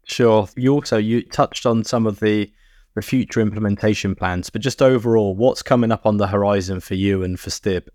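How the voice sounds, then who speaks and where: Low-voiced male podcast interviewer. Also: moderate pace, darkish tone, no echo, clean studio sound. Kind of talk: conversational interview questioning.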